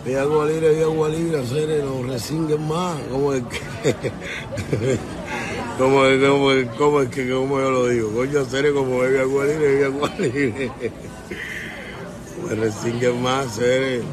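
A Cuban man riffing to his followers in a loose, joking rant, swearing freely and chuckling between lines. He's recorded outdoors on a city street, with a crowd of people clearly audible behind him. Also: medium pitch, fairly even intonation, a little slow. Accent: Cuban Spanish. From Cuba. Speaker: vlogger. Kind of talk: casual rant to viewers.

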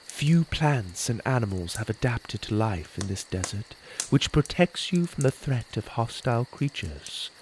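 A man voicing a solemn mystical moon spirit, speaking softly and slowly. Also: medium pitch, big pitch swings, slight background noise, low-quality recording.

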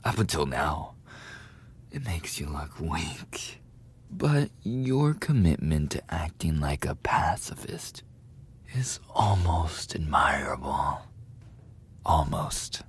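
Man acting a husky, breathy low-voiced delinquent bully. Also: animated, heavily acted. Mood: grudging, confessing. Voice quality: husky, breathy, often dropping to a whisper. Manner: halting, swearing, coughing between phrases. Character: sadistic delinquent school bully, lovesick, defensive and pathetic. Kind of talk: boyfriend ASMR audio roleplay.

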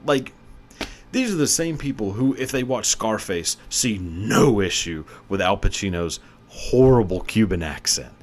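Deep-voiced rambling podcast host. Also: male voice, animated, unhurried. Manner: rambling, joking, profane, with frequent fillers. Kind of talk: casual solo commentary.

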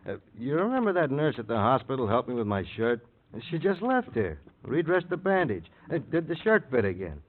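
An actor playing an evasive, aggrieved murder suspect in a 1950s radio crime drama, defensive and hesitant in short broken sentences. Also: male voice, medium pitch, big pitch swings, fairly quick, few pauses, slight background noise, natural voice. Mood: feigned casual. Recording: old radio, phone-like band.

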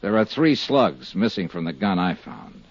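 A man's voice: sits low, very animated, moderate pace, husky, dry recording, full character voice. An American man playing a world-weary, candid insurance investigator in a 1950s radio detective drama, speaking in plain, clipped hard-boiled style. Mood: matter-of-fact. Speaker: lead actor and narrator of a detective serial. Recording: muffled, dry 1950s radio recording.

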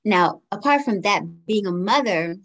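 A woman's voice: mid-range voice, animated, moderate pace, fairly bright tone. A woman in her forties from Guyana, raised in the United States, answering interview questions in a thoughtful, candid way. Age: 40s. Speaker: podcast guest.

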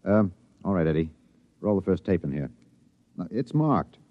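Low-voiced radio newsman, dry old recording. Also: very animated, normal speed, no room sound, lo-fi sound. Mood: businesslike. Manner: off-the-cuff asides, then grave dramatic delivery. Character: driven, candid newsman recording a solemn documentary narration. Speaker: radio editor and narrator. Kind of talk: 1950s radio drama narration.

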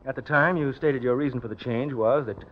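A man's voice: medium pitch, brisk, lightly acted. An actor playing a businesslike male insurance agent with an offhand manner in an old-time radio drama. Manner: matter-of-fact, offhand. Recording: dull, hissy vintage radio recording.

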